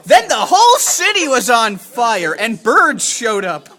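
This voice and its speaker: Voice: mid-range voice, wildly swooping pitch, unhurried, sharp tone. A person improvising an absurd, profane comic story in a mock storybook narration.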